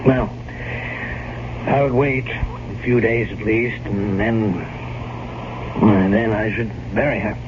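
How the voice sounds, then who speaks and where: A man acting as a stern, foreboding family doctor in a radio drama, with emphatic, measured theatrical delivery. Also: lowish voice, fairly even intonation. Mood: grim, clinical. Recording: dull, noisy old radio broadcast recording.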